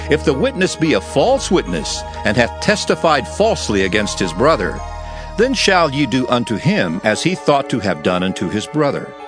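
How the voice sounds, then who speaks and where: A man narrating dramatized scripture in a solemn, declamatory manner. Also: medium pitch.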